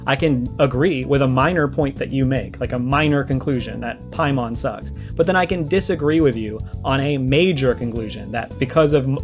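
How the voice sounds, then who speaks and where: A man giving argumentative video commentary, explaining his points emphatically and repeating them to be clear. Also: fairly high pitch, a little mellow. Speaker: video creator giving a response. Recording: music behind the voice. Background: music.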